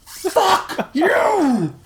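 A man voicing a frightened, indignant male doctor who shouts defensively in an audiobook. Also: very high-pitched, wildly swooping pitch, drawn-out words, heavy background noise, pitched above the performer's own voice, full character voice. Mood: scared, angry.

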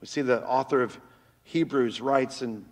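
A man preaching a sermon as pastor in a church, with a very loud voice that swings between loud cries and hushed, near-whispered lines, on a microphone. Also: medium pitch, fairly even intonation, a little slow, in a large hall.

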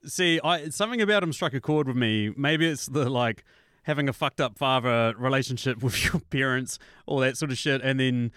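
A man's voice: medium pitch, normal speed, smooth, no echo, studio quality. Laid-back New Zealand man, rambling. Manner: swears freely, rambling and animated. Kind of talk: casual chat.

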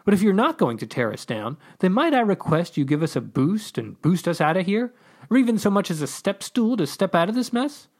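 Man acting a high, animated, wordy underworld escapee. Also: fairly quick, exaggerated voice. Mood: exasperated, pleading. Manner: rambling, mock-formal, exclamatory. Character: flustered, chatty, wordy male underworld escapee.

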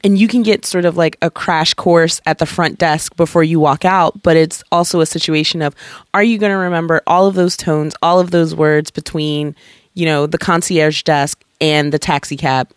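A podcast host chatting casually, lively and talkative, swearing casually and acting out quotes. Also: medium pitch, moderate pace, clean tone, no echo, clean studio sound. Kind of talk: casual interview chat.

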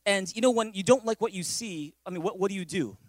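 Male pastor, higher-pitched, conversational storytelling sermon, repeats phrases, dry recording. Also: quick pace, no room sound. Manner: repeats phrases and checks in with listeners.

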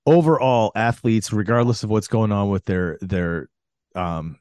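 A man's voice: on the low side, measured pace, a little mellow, no echo, clean studio sound. A man co-hosting a sports podcast, talking casually in an opinionated, slangy way.

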